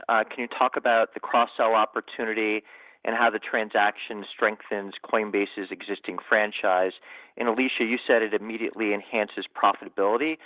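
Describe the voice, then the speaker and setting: A man's voice: fairly low pitch, dry recording. A man calling in over a phone line as a financial analyst, politely putting questions to company executives. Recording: muffled, phone-like call line.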